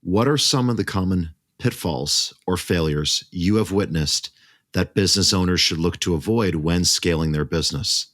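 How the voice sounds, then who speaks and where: A man's voice: sits low, normal speed, a bit bright, dry recording, clean studio sound. A man hosting a business podcast, asking brief, direct interview questions. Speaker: podcast host.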